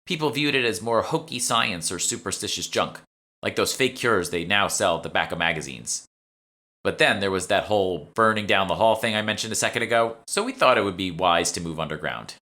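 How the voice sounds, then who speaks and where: Guy doing a teen boston salesgirl for an audiobook, casual, explains stuff, bright voice. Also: low-pitched, animated, a little fast. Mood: chatty, informative. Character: teenage salesgirl, evasive then chatty, knowing alchemy shopkeeper. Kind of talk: audiobook character dialogue.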